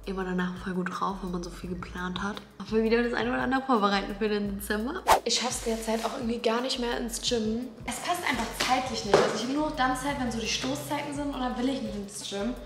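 A woman's voice: fairly high pitch, fast, hardly any pauses. A young woman in her twenties chatting casually to the camera in her apartment, eager and full of slang. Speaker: lifestyle vlogger. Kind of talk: casual vlog talk to camera. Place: bright modern apartment indoors. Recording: roomy apartment sound with music behind.

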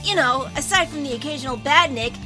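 Cartoon sidekick, female performer: excitable young male fox, childlike extremely high pitch, sweet and eager, over loud music. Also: brisk, natural voice. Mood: earnest. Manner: excitable, earnest. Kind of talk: animated comic dub.

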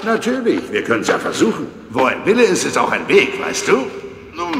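An actor playing a kindly, bumbling old giant turtle in a children's audio drama, rambling and good-natured. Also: male voice, fairly high pitch, wildly swooping pitch, a little fast, creaky, exaggerated voice. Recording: echoey cave reverb, noisy cassette.

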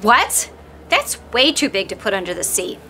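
An actress playing a scheming middle-aged flight attendant who sounds falsely sweet. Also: female voice, mid-range voice, big pitch swings, normal speed, pushed and bright, slight background noise. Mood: sly. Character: scheming thieving female flight attendant, sly. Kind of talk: scripted comedy sketch acting.

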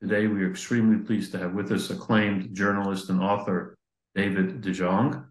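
Low-voiced older male host, echoey room. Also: little pitch movement, moderate pace. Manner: formal introduction with fillers. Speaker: interview host. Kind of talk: hosting a video-call interview. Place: office indoors. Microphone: computer mic on video call. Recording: echoey room over video call.

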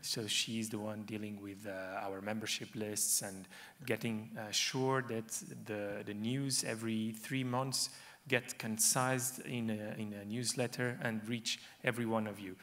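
A man's voice: fairly low pitch, flat delivery, measured pace, fairly bright tone. A young man presenting his association's board candidates from a lectern in a conference hall, speaking loosely with many fillers.

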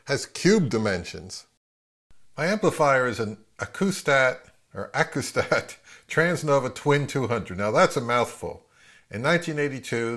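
A man's voice: medium pitch, fairly bright tone. A middle-aged man with an American accent, explaining audio gear in a casual, conversational way as a channel host. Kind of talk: casual explanatory product review narration.

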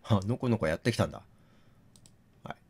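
A man doing gaming commentary, thinking out loud and reasoning step by step, mixed with reading text aloud. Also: lowish voice, animated, a little fast, slightly rough. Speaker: game streamer reading on-screen text aloud.

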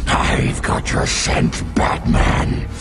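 A man's voice: drawn-out words, loud music behind the voice. An actor playing a huge, brutal reptile man in a video game, growling threats. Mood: snarling.

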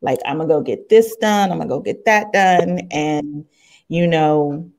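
A woman's voice: low-pitched, measured pace, darkish tone. A middle-aged woman hosting a casual, frank panel chat, blunt and slangy, speaking into a studio microphone. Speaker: talk show host. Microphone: large studio microphone on a video call.

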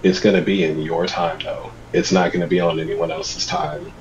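A man in his thirties chatting over a video call, slightly congested from a cold, giving relaxed advice. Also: low-pitched, normal speed, lo-fi sound, a loud crowd. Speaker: guest. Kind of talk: casual video call chat. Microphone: video call webcam.

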